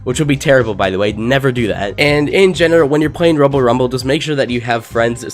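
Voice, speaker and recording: A young man who is sick and losing his voice, giving casual, rambling game tips as a gaming YouTuber. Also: medium pitch. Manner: casual, rambling, filler-heavy. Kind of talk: game tips commentary over gameplay.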